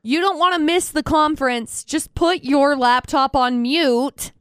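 High, bright-voiced woman co-hosting a radio show. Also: a little slow, dry recording, studio quality. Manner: emphatic, repeats points for stress. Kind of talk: casual on-air debate banter.

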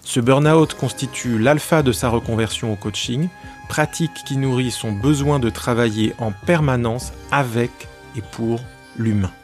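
Slightly higher-voiced male podcast host. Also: normal speed, soft background music. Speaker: podcast host, professional coach. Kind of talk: scripted introduction and interview questions.

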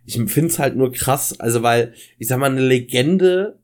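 A German-accented man on a casual wrestling podcast speaks slowly at an average pitch. He hesitates often, stumbles over his words and corrects himself as he goes. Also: darkish tone. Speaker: podcast co-host.

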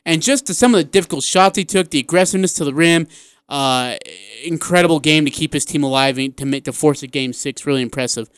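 An American man hosts sports talk radio in a smooth, resonant voice pitched slightly high. He speaks emphatically, repeating words for stress. The sound is dry and close, like a studio. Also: fast, a bit bright, no echo.

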